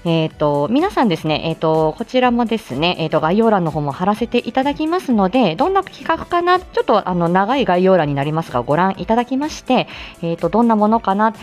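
A woman's voice: mid-range voice, big pitch swings, a little fast, hardly any pauses, darkish tone, faint music behind. A woman hosting a live audio show, chatting casually and politely through morning announcements.

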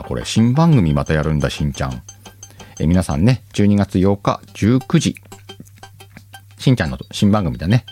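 A man's voice: fairly low pitch, darkish tone. Chatty man reading letters. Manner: chatty, laughs easily, comments aloud on letters as he reads them. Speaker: podcast host reading listener letters. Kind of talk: casual chat. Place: home recording.

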